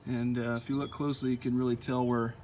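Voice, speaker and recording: A man's voice: mid-range voice, fast talker, a little hiss or hum. A man giving a casual, folksy how-to walkthrough of a truck install outdoors, with frequent "uh" fillers. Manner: relaxed, lots of "uh" fillers, folksy. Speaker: truck owner showing an install.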